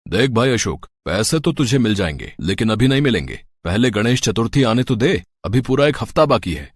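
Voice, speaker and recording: A man voicing a stern, bossy rich employer in a cartoon moral story, with a gruff, commanding manner. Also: mid-range voice, very animated, brisk, dry recording, clean studio sound.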